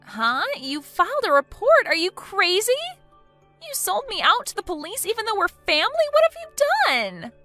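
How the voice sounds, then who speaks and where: A woman putting on a shrill, self-absorbed young woman whose voice leaps high and wide in indignation. The tone is bright and piercing, with faint music underneath. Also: wildly swooping pitch, normal speed, full character voice. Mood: indignant. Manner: whiny, indignant, high-pitched outbursts.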